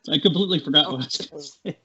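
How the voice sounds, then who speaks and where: A man in his late forties talking as a podcast guest, halting with lots of fillers and restarts. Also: fairly high pitch, pushed and bright, slightly rough. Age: 40s. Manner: halting, many fillers and restarts, laughs along. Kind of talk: casual chat. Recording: dull lo-fi audio.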